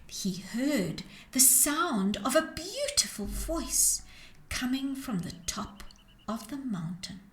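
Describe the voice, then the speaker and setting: A woman reads a storybook to children with dramatic, expressive delivery. Her voice is fairly high and swings widely in pitch. She takes her time with each phrase. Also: slow pace. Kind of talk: animated storybook reading for children. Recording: roomy, echoing sound.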